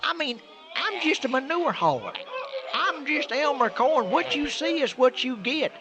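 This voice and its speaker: A man's voice: very high voice, sing-song, moderate pace, a crowd in the background. A man playing a timid, simple-minded country hauler in a comedic radio drama, with a Southern US accent and a folksy, flustered stammer. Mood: nervous, protesting. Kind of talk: comedic old-time radio acting.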